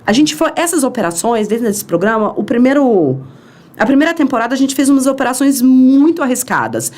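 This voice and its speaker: Animated Brazilian woman telling a story. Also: high voice, fairly quick. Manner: animated, acts out quoted dialogue. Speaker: podcast guest. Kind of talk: storytelling in an interview.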